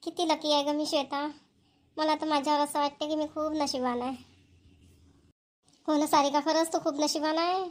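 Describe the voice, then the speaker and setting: A woman performs an excitable young cartoon woman. She chats in a familiar, exclamatory village style, like a close sister in a homely comedy. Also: high-pitched, moderate pace, pauses often, close to an everyday voice. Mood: chatty, affectionate. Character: chatty, excitable young Marathi women, two close sisters. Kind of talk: Marathi cartoon comedy dialogue.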